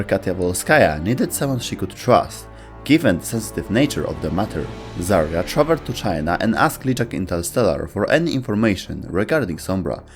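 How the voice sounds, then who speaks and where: A man reading scripted narration as the narrator of an explainer video. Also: lowish voice.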